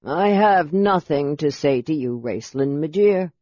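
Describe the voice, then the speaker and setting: An imperious master scholar performed by a male narrator, acerbic and short of patience. He hands down curt, formal words at a slow pace, with a slightly dull sound, as in a fantasy audiobook. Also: fairly high pitch, expressive, a little mellow, no echo. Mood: irritated. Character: acerbic, haughty, impatient male master chronicler. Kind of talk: audiobook character voice.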